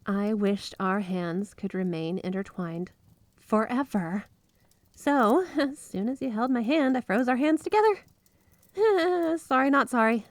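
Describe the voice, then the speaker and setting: A woman playing a flirty, obsessively devoted yandere wife in an intimate ASMR roleplay, gushing straight to the listener with drawn-out emphasis. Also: fairly high pitch, no room sound. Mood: giddy, flirty. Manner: gushing, breathy giggles, drawn-out emphasis on words.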